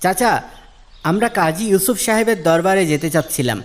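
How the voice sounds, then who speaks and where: A man playing a polite, keen traveler in a moral cartoon, asking his way in a courteous, brisk manner. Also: high voice, animated. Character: polite, keen male traveler looking for the judge. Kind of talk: Islamic moral cartoon dialogue.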